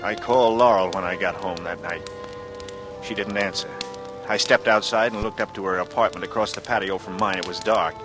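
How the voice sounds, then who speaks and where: A man's voice: deep voice, fairly even intonation, brisk, close to an everyday voice. An actor playing a haunted, intense man in a 1940s radio thriller, brooding and dramatic in manner. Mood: brooding narration. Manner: brooding, dramatic, swinging into anguished outbursts. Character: haunted, intense male lover and war veteran. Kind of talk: 1940s radio drama acting with first-person narration. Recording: vintage radio recording with music.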